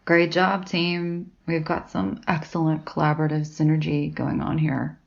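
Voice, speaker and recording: A woman's voice: sits low, flat delivery, a little slow, creaky, highs cut off. A woman playing a blunt, suspicious, sardonic academic in an audio drama, with a dry, sarcastic delivery. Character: sardonic, blunt, suspicious, foul-mouthed female college academic.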